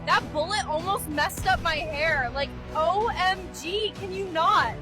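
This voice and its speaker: A woman's voice: extremely high pitch, unhurried. A woman voicing an airheaded young female soldier who drawls in whiny slang. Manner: whiny slang-heavy valley-girl drawl. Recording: voice over loud music.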